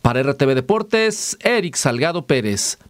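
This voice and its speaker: A man's voice: mid-range voice, very animated, normal speed, dry recording, studio quality. A man reading a scripted sports news voice-over as a reporter. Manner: announcer-like reading.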